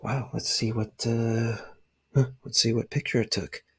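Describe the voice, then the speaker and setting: Hushed, husky man with creaky low voice. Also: flat delivery, a little slow. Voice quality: breathy, husky, often slipping into whisper. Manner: hesitant, rambling, murmured asides. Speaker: ASMR creator playing a spy dad showing gear. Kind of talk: ASMR roleplay, soft-spoken. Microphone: close microphone.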